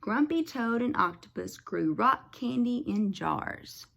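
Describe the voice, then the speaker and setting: A woman teacher reads a picture book to young children. Her pitch moves around a lot and her pace is slow and careful. A creaky edge comes into her voice, and she stops to explain simply. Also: big pitch swings, a bit bright. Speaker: teacher reading aloud to her class.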